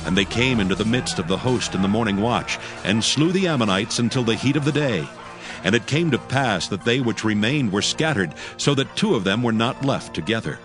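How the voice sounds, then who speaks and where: A man's voice: lowish voice, lively intonation, brisk. A man narrating dramatized scripture from a script in a formal, solemn storytelling manner. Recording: music bed behind the voice.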